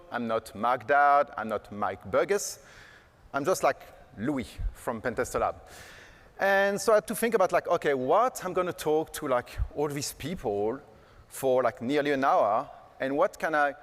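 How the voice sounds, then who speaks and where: A man giving a casual, joking conference keynote on stage, full of fillers like "like" and "uh". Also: mid-range voice. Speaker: keynote speaker. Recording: long hall reverb.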